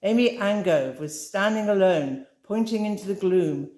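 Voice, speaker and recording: An author reading her own crime novel aloud in a measured, dramatic storytelling manner. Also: medium pitch, lively intonation, darkish tone. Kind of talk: fireside audiobook reading. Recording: roomy echoey room.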